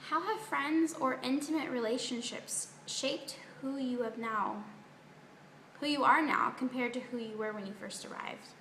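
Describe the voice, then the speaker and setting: A woman's voice: sits high, measured pace, a bit bright. A woman interviewer putting prepared questions to a guest. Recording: echoey room, faint background noise.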